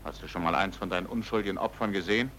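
Grim betrayed friend, deep voice, terse, restrained, vintage radio drama, faint noise. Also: male voice, very fast, fairly bright tone. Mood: accusing. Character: grim, disillusioned man confronting his crooked old friend. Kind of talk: vintage German radio play acting. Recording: noisy vintage radio play recording.

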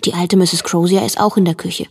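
An actress playing an observant young household helper who narrates plainly in the present tense in a radio drama. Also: female voice, on the low side, fairly even intonation, fairly quick, darkish tone, husky. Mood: matter-of-fact. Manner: watchful, matter-of-fact.

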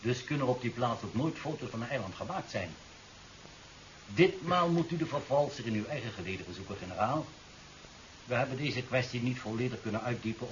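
Man acting a low-voiced, assured official. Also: lightly acted. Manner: formal, self-assured, condescending. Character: smooth-talking, assured male official, condescending and domineering. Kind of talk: 1970s Dutch radio drama acting. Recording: dull, hissy 1970s radio recording.

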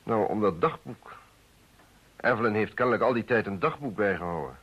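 An actor playing a formal, defensive politician in a radio play, speaking hesitantly and with measured words. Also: male voice, fairly low pitch, animated, breathy edge. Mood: defensive, worried. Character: defensive male politician confessing an affair, formal and evasive. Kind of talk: Dutch radio play acting. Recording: dull old radio play recording.

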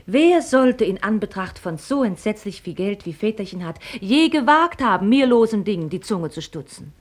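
Radio drama: actress as proud, pert rich daughter, young, articulate and mocking, highly varied melody. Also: female voice, lively intonation, normal speed, close to an everyday voice. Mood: ironic, candid. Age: young adult. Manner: articulate, mocking, ornate phrasing. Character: pert, sharp-tongued young heiress, witty and proud. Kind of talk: German radio play acting.

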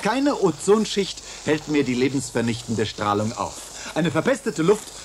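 A man's voice: a little high, big pitch swings, measured pace, background noise. A man acting in a radio play as a patient, long-suffering resident of a domed future colony, pleading and theatrical. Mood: mock tour guide.

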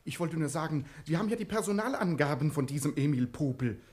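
An actor playing a timid, deferential prison subordinate with an obsequious, hushed manner in a German radio crime play. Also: male voice, on the high side, lively intonation, quick pace, close to an everyday voice.

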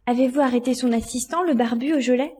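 A woman's voice: high-pitched, fast talker, warm tone, husky. A woman playing an anxious, inquisitive young girl with a questioning manner in a science fiction audio drama.

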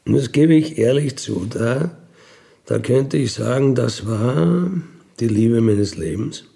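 An older man with an Austrian accent telling a reflective personal story in a halting, colloquial way with little questioning tags. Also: medium pitch, lively intonation, gravelly, dark tone. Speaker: former prisoner telling their life story. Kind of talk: reflective autobiographical monologue.

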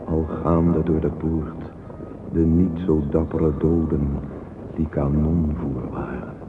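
Radio drama male: solemn, bitter poetic declaimer, unhurried, thin phone-like recording. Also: extremely low pitch, level tone, noisy background. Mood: grave, bitter. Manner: grave, measured, declamatory. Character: solemn, bitter male reciter of a war elegy. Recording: phone-like old recording under loud music. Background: loud music.

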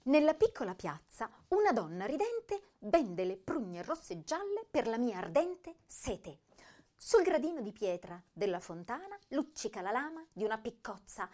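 A woman playing a sensitive, melancholy young poet, reciting her verse in a deliberate, emotive, lingering way. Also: high-pitched, warm tone. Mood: contemplative. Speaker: actress reciting poetry. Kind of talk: dramatic poetry recitation.

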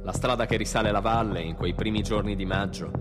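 A man's voice: fairly low pitch, steady tone, fairly quick. A man gives a dramatic literary reading in a solemn, rhythmic, declamatory way. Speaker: reader in a music and spoken-word show. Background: live guitar band music.

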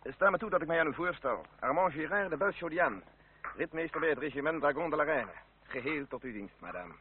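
An actor playing a courteous aristocratic cavalry captain in a radio play, with formal, polished manners. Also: male voice, on the high side, animated, a little fast, close to an everyday voice. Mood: suave, courteous. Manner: formal, polite, suave. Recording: narrow, tinny old radio recording, faint noise.

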